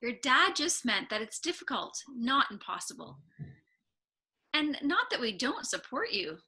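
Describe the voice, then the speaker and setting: A woman reading the part of a supportive mother at a screenplay table read, gently persuasive and reassuring. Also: high voice, sing-song, a little slow, heavily acted. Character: supportive, reasonable mother of a teenager.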